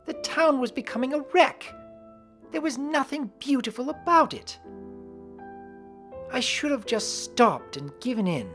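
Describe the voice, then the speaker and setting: A woman's voice: high voice, lively intonation, measured pace, pauses often, faint music behind. A woman narrating in the first person as an earnest adult pony mare who works the weather, reading fan fiction expressively. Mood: distressed.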